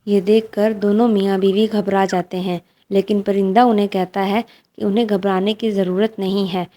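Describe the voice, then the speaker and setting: A woman's voice: medium pitch, little pitch movement, normal speed, warm tone. A woman narrating a scripted fairy tale for children as a storyteller.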